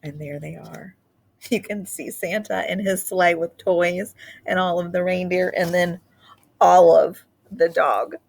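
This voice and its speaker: A woman's voice: lowish voice, creaky. Woman reading a children's picture book aloud. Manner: animated, drawn-out emphasis on key words. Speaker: read-aloud storyteller.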